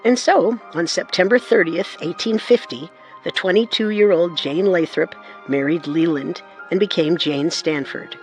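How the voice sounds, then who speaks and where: Man, high voice, measured dramatic narration, music underneath. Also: low-quality recording. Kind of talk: scripted documentary narration.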